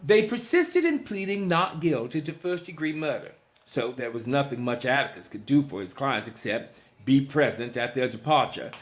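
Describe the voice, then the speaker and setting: A man's voice: on the high side, a bit bright. A man reading a literary story aloud as scripted narration, in the role of an audiobook reader.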